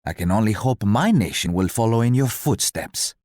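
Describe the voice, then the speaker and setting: A man acting a stately, low-voiced colonial-era ruler. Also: lively intonation, moderate pace, darkish tone, no room sound, clean studio sound, lightly acted. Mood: courteous, pleased. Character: stately, courteous male colonial-era ruler, an allied leader. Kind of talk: scripted video game voice lines.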